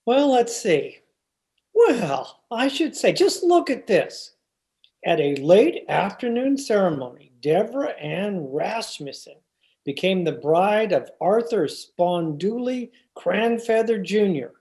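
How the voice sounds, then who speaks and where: A woman's voice: medium pitch, big pitch swings, slow, dark tone. A woman playing a teasing, stubborn husband in old-time radio comedy, with a theatrical, wry and exasperated delivery. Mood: amused. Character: George, teasing, stubborn husband of a 1940s housewife. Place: remote video-call production.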